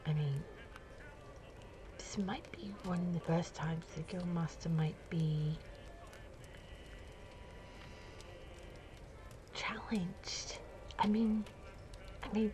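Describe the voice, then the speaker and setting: A woman plays a poised vampire noblewoman in an ASMR roleplay. Her phrasing is hushed, deliberate and very drawn out. Layered echo and reverb wrap her words, with noise behind them. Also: fairly low pitch. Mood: amazed, gossiping. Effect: layered echo, reverb and reversed audio. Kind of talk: ASMR roleplay performance, slow whispered and layered speech. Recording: layered echoing ASMR audio, background noise, music.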